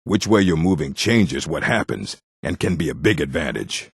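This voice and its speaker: A proud, gruff orc warrior from a video game. The voice sits extremely low and is thick with gravel. He speaks bluntly and with command, his pitch moving expressively, in a very dry, close sound. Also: big pitch swings, normal speed, no room sound, full character voice. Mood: gruff, instructive. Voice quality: gravelly, husky. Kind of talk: scripted video game character lines.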